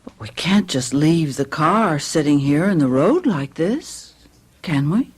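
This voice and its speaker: Anxious wife, slow, swooping delivery. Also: female voice, deep voice, sing-song, a little mellow, slightly rough, full character voice. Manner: hesitant, wondering, plaintive. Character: anxious, bewildered wife and mother stranded in fog. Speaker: radio drama actor. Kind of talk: radio mystery drama acting.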